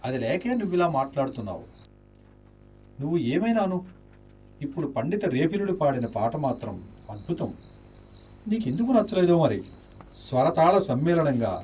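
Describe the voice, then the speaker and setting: A man's voice: mid-range voice, warm tone, close to an everyday voice. A man playing a refined, dreamy, music-loving nobleman in a poetic radio drama. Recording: distant radio drama with faint night noise.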